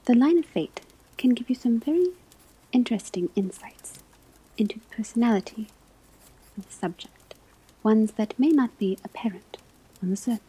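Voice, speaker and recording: A calm witch-school divination teacher, acted out by a woman, speaks in a very breathy whisper with a soft, mellow tone. The lecture is scholarly and deliberate, with unhurried pauses between phrases, in the style of an ASMR classroom roleplay. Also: on the high side, rough recording. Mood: calm, instructive. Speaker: ASMR performer playing a teacher.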